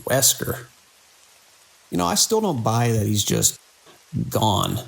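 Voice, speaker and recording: A man playing a weary, dedicated hospital director in an audio drama, speaking in a measured, grave way. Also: mid-range voice, very animated, creaky, breathy edge, exaggerated voice, rough recording. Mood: indignant. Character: weary, dedicated male hospital director under mounting strain. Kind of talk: audio drama acting, recorded log entries and phone call.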